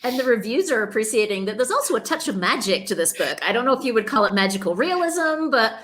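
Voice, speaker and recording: A woman's voice: on the high side, sing-song, quick pace, a bit bright. A woman hosting a casual author interview, effusive and full of praise as she talks about books. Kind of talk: casual book chat.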